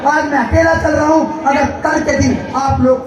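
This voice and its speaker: Fiery man shouting to a rally crowd. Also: extremely high pitch, steady tone, normal speed. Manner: impassioned, shouting, rhetorical questions. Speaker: rally speaker. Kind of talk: fiery political speech to a crowd. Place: outdoor rally ground. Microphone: public address mic heard from a distance. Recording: echoey outdoor PA from far off, crowd noise. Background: crowd murmur.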